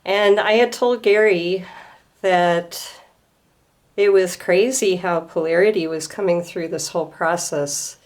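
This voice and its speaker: A woman at home gently explaining a handmade display to a camera, her voice slightly hoarse from a starting cold. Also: on the low side. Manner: gentle, unhurried, pausing to explain details. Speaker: student presenting a craft project. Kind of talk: slow show-and-tell explanation. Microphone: camera filming a display.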